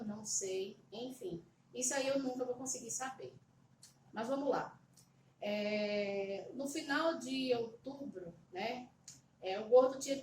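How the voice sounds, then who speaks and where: A woman's voice: sits high, a little mellow. A middle-aged Brazilian woman telling a personal story to camera from her home, hesitant and repeating words with many fillers. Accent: Brazilian Portuguese. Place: home, at a table. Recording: roomy echo, slightly distant mic, faint background hum.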